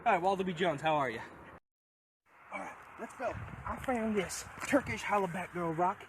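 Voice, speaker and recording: A young man doing a deadpan mock nature tour outdoors, his voice fairly high and lively. It is a phone clip with a clear hiss behind him. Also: normal speed. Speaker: vlogger. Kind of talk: goofy improvised skit. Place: outdoors on an overgrown road. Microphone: phone camera.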